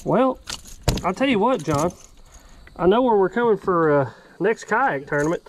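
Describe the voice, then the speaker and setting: A young man vlogging from his kayak on a creek, excitable and quick to exclaim. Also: high-pitched, background noise. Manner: excitable, exclaims and laughs often. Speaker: fishing vlogger. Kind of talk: excited outdoor chat.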